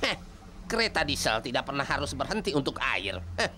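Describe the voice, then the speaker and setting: A man's voice: mid-range voice, very animated, fairly quick, sharp tone, voice pushed up. A man voicing a grumpy, mocking, impatient diesel engine in a children's cartoon, with a sneering, scoffing manner. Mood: scornful.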